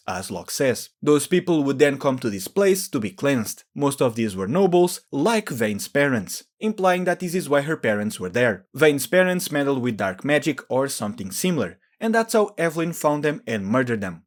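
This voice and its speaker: A man narrating scripted commentary, explaining and speculating as he goes. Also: fairly high pitch. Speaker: video narrator.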